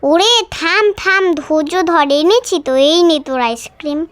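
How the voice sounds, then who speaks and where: A woman voicing a kind, sensible older girl in a doll story, patient and gently bossy. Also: very high voice, animated, normal speed, dry recording, pitched above the performer's own voice. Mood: reassuring.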